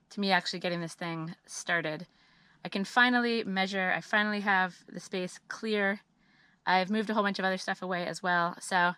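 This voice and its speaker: A woman giving a chatty, upbeat monthly update on her homestead work, her voice a little scratchy and hoarse, with frequent ums and uhs. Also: mid-range voice, sharp tone, no echo. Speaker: homesteading vlogger. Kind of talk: casual monthly update vlog.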